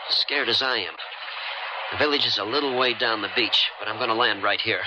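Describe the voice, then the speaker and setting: An actor playing a wary, daring boatman with a guarded, halting delivery in 1970s radio drama style. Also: on the low side, steady tone, close to an everyday voice. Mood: tense, guarded. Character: wary, daring boatman, fond lover, leading a mission. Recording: dull, noisy old radio broadcast.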